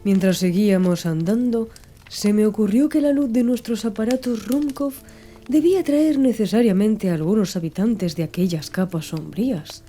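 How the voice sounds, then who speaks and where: A woman's voice: mid-range voice, moderate pace, dark tone. A woman reading a classic adventure novel as a scripted audiobook narration. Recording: music bed under the voice. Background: background music.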